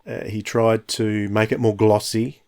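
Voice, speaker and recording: A man's voice: fairly low pitch, flat delivery, measured pace, darkish tone, smooth. A man in his fifties hosting a podcast, talking in a reflective, enthusiastic way with frequent restarts. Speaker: podcast host. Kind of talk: casual podcast discussion.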